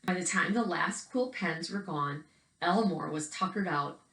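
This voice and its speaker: A woman reads a children's picture book aloud expressively, pausing to chat about the pictures as if to young children. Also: on the low side, a bit bright. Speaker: read-aloud storyteller. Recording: roomy, echoing indoor recording.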